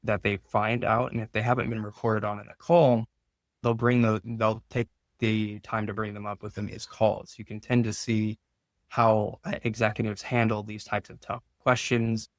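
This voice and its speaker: Male interview guest, finance expert. Informal explainer, lower pitch, very even delivery. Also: moderate pace, no room sound, studio quality.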